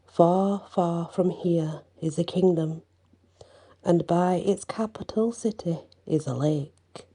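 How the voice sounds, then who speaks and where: A woman's voice: lowish voice, takes it slowly, dark tone, husky, full character voice. A taunting dragon, as a woman storyteller puts him on. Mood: mocking. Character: boastful mocking male dragon.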